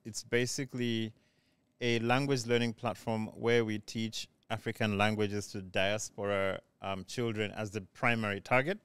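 A man's voice: lowish voice, little pitch movement, moderate pace, a bit bright, smooth, dry recording. A man chatting casually as co-host of a talk show. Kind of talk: casual chat.

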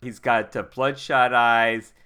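A man's voice: mid-range voice, level tone, takes it slowly, background noise. A man introducing his tabletop game character in casual, playful comic phrases, repeating bits for effect.

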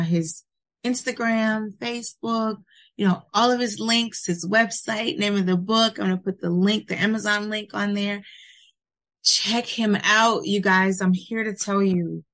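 A woman hosts a podcast interview, gushing with praise and thanks. Her voice sits at a middle pitch and she speaks in a casual, chatty way. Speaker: podcast host.

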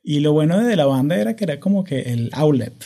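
A Venezuelan man in his thirties hosts a relaxed podcast conversation. His voice is dark and mellow, but his delivery is energetic and hyperkinetic, with English terms slipping into his Spanish. It is recorded close on a studio microphone. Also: a little high, quick pace, vocal fry. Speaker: podcast host.

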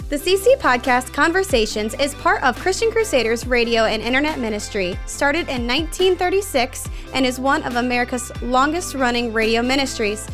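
A woman announcer reading a scripted closing message in a smooth, even way. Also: high voice, a little fast, few pauses. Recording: clear music bed under voice.

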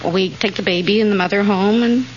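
A woman's voice: medium pitch, fast talker. A middle-aged woman from Pennsylvania giving brief, matter-of-fact answers as a contestant on an old radio quiz. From Pennsylvania, United States. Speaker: quiz show contestant, baby nurse. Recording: muffled, very noisy old radio recording.

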